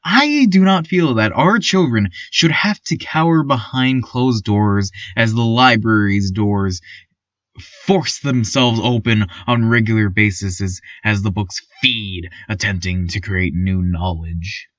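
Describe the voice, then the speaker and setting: A man playing a timid, awkward, eerily cheerful small-town radio news host, stammering and pausing through a surreal fiction podcast monologue. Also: on the low side, a bit bright, no echo. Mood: earnest, uneasy. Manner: hesitant, stammering, many ums and pauses. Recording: dull, lo-fi, slightly muffled sound.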